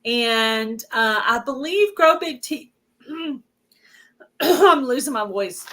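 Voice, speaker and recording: Woman, host, casual live chat, chatty, filler words, bright tone. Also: sits high, animated, drawn-out words.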